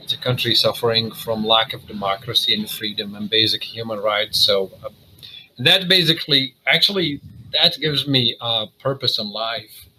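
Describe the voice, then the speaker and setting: A middle-aged man from Syria, a cardiologist guest, talking earnestly in a halting way with many fillers and restarts. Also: fairly low pitch, sharp tone, a little hiss or hum, low-quality recording. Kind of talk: casual interview talk.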